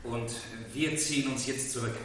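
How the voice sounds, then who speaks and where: A man's voice: mid-range voice, steady tone, moderate pace, close to an everyday voice. An actor playing a commanding, impatient nobleman in a 1970s German radio play, authoritative and insistent. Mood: businesslike. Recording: echoey, faintly noisy 1970s radio drama.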